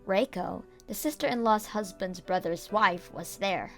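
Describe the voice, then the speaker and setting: A woman's voice: mid-range voice, measured pace, a bit bright, faint music behind. A woman reading scripted first-person story narration for a manga dub.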